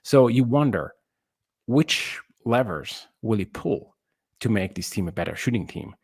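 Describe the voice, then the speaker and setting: A man co-hosting a sports podcast, giving casual basketball analysis in halting phrases with slightly non-native English grammar. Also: on the low side, lively intonation, slightly rough, dry recording, clean studio sound.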